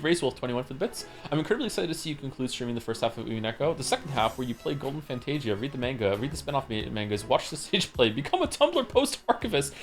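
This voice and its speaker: A man streamer from Wales reading out chat messages in casual talk, with quick wry asides. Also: medium pitch.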